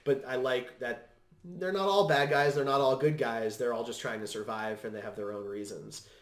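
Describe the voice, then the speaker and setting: A man's voice: mid-range voice, steady tone, moderate pace. A man hosting a podcast, speaking in a casual, conversational way. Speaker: podcast host. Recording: roomy, echoing room sound.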